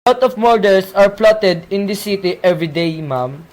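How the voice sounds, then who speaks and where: A man's voice: high-pitched, animated, normal speed, rough recording. A man playing a patient but dismissive police sergeant in an amateur radio play, placating and matter-of-fact. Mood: dismissive, reasoning.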